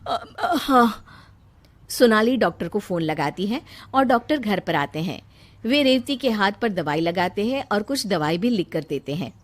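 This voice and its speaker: A woman's voice: medium pitch, expressive, normal speed. A woman reading scripted storytelling narration for a cartoon tale.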